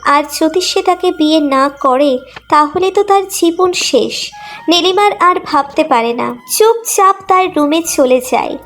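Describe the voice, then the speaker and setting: A woman's voice: extremely high pitch, fairly bright tone. A woman reading a scripted emotional romantic story as its narrator.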